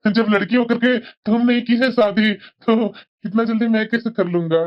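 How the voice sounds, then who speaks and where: An eager young man in a family drama, affectionate and pleading as he chats with an old friend, played by a woman. The voice sits fairly high, and the recording sounds slightly dull and lo-fi. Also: steady tone, normal speed, lightly acted.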